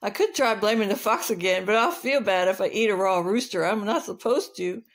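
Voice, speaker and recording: A woman's voice: medium pitch, expressive, fairly quick, natural voice. A woman voicing a hungry, affectionate barn dog in a children's story, folksy and eager.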